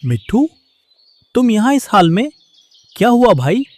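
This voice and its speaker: Guy playing a honest young sparrow in a cartoon, emotional, swingy highish voice. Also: very animated, unhurried, a little mellow, no echo, full character voice. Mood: concerned. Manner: earnest, emotional. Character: honest, simple, hardworking young male sparrow. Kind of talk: Hindi cartoon story dialogue.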